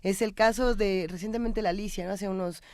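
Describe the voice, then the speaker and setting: A woman with a Mexico City accent and a medium-pitched voice in a very dry recording. She speaks as a broadcast host, conversationally leading an interview. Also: fairly even intonation, fairly quick, a little mellow, dry recording. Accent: Mexico City Spanish. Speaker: magazine show host, interviewer.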